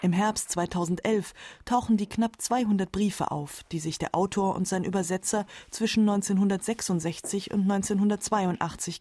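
A woman's voice: medium pitch, dark tone, slightly rough. A woman narrating a radio feature from a script. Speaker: documentary narrator.

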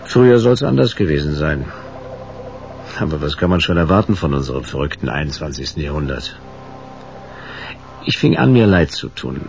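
An actor playing a hard-boiled, wry private detective in a radio crime drama, laconic and dry. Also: male voice, very deep voice, gravelly, noisy background, heavily acted. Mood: laconic brooding. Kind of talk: 1980s German radio crime drama acting with first-person noir narration.

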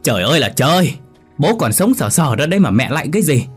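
A man voicing an exasperated young son who shouts at his mother. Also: mid-range voice, expressive, a little slow, soft background music, voice pushed up.

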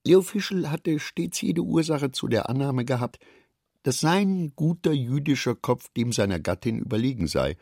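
A man reading scripted literary narration for a radio play in a measured, expressive way. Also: medium pitch, gravelly, dry recording, clean studio sound.

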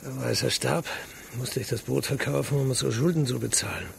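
An actor in a German radio drama playing an earnest, proud city guard colonel of humble birth, gruff and plain-spoken. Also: male voice, medium pitch, fairly quick, slight background noise.

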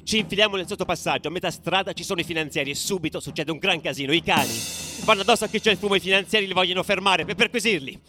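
A man's voice: high voice, rapid-fire, few pauses, over music, natural voice. A man playing a tough football ultra, telling his story as an intense, colloquial radio monologue. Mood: agitated. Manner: colloquial, intense, swinging from hushed to shouted. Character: tough young male football ultra recounting his exploits.

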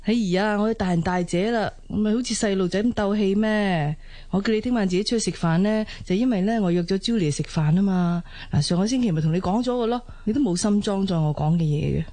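An actress playing a frustrated, sighing young wife in a Cantonese radio drama, with a Hong Kong accent. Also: female voice, mid-range voice, fast talker, mellow, no echo, close to an everyday voice. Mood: annoyed.

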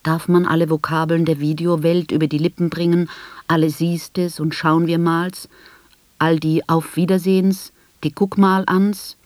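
An actress playing a blind woman who tells of her life in an articulate, measured, sardonic radio drama monologue. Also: deep voice, little pitch movement, a little mellow, no room sound. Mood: wry, ironic. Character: blind woman recounting her life with bitter, ironic humour.

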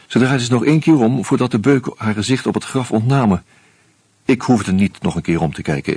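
A man narrating a radio drama in the first person, measured and reflective. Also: deep voice, a little mellow, slightly rough, no echo.

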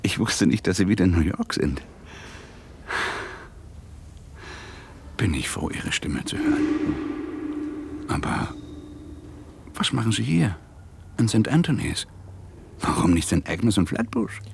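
An actor playing a hard-boiled, shaken New York police detective in a radio crime drama, weary and angry, in terse, measured phrases. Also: male voice, big pitch swings, slight background noise. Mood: relieved. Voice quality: deep, gravelly. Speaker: actor, narrator and lead. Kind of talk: radio crime drama, intimate first-person monologue and dialogue.

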